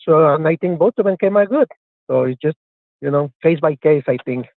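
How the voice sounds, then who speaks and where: Male caller, tinny phone line, slightly high voice, hesitant. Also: brisk, no echo. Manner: hesitant, searching for words. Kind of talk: phone call-in debate.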